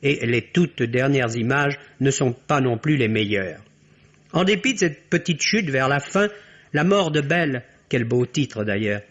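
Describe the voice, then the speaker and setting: A man reads a film review as a radio critic. His phrasing is formal and literary, his pitch sits in an ordinary middle range. The sound is dull and lo-fi, like a vintage radio broadcast. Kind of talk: scripted film review commentary.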